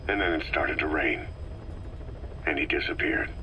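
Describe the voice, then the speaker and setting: Very deep, terse soldier. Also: male voice, normal speed, sharp tone. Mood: stoic recall. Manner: terse, clipped, understated. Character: terse, stoic, battle-hardened male soldier. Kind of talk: scripted video game dialogue. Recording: faintly noisy cassette tape.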